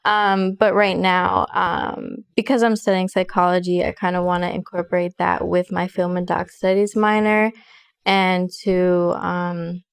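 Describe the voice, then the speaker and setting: Smooth-voiced young woman from Chicago. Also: fairly low pitch, flat delivery, measured pace. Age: young adult. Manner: thoughtful, hesitant with many ums. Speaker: college student guest. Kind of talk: casual interview.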